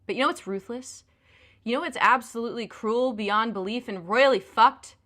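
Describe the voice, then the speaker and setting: A woman's voice: a little high, normal speed, a bit bright, natural voice. A woman acting in an audio drama as a hurt, furious young girlfriend, sarcastic and biting as she confronts her cheating boyfriend. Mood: furious, scornful.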